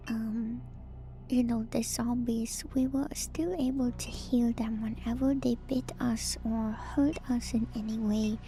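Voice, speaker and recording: A woman streamer giving soft, breathy, often whispered ASMR commentary on a horror game, musing and pausing often to think, close to the mic. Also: on the high side, rough recording. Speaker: streamer, virtual YouTuber host.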